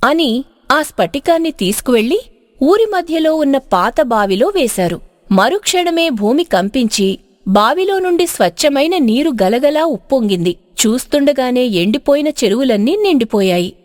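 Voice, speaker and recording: A woman narrator tells a folk tale in dramatic storybook style. Her voice is high with wide, animated swings in pitch. The recording is tight and dry. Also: moderate pace, dry recording.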